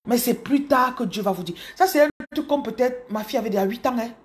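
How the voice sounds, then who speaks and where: A woman in her thirties from Cameroon with a Cameroonian French accent, telling her story to her followers in an animated, casual way, speaking close to a phone in a small room. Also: on the high side, quick pace. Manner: animated, repeats words, addresses viewers directly. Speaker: business coach. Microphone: phone camera held close.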